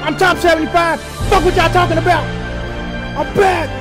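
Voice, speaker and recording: A man's voice: very high-pitched, level tone, moderate pace, music in the background, pitched above the performer's own voice. A man voicing a hot-tempered, bragging male basketball star in a comic sports voiceover. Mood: triumphant. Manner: bragging, exclamatory.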